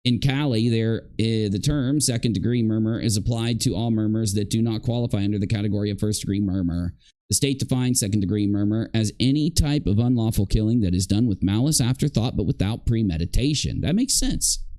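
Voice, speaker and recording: A man's voice: fairly low pitch, brisk, warm tone. A man hosting a livestream, giving casual, rambling commentary and reading aloud with grumbling, joking asides.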